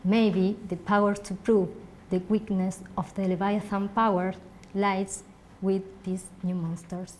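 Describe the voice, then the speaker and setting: A woman with an Argentine Spanish accent speaks as an academic at a conference. Her delivery is slow and halting as she searches for words, and faint room noise sits behind her. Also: mid-range voice, flat delivery, a little mellow. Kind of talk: academic talk and answering questions. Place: indoor university conference room. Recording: noisy conference room recording.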